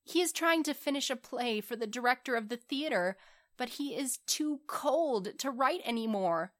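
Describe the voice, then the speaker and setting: A woman's voice: high-pitched, expressive, moderate pace, a bit bright, pitched above the performer's own voice. A woman voicing a noble, sorrowful prince statue in a storybook, earnest, imploring and commanding. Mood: pleading, compassionate. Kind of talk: storybook character voice.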